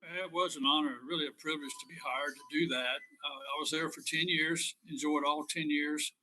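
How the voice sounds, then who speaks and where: An older man, a retired coach, speaking in an easygoing, brisk way from a fast-food restaurant. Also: a little high, fairly even intonation, bright, forceful tone, poor audio. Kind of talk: casual remarks. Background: restaurant noise.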